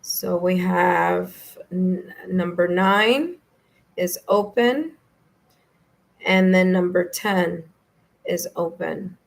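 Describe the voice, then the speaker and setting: A woman with a New York accent and a slightly hoarse, raspy voice, hosting a live tarot stream in a friendly, chatty way. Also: lowish voice, drawn-out words, pauses often. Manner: friendly, chatty, laughs easily. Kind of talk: casual live-stream chat, greeting viewers.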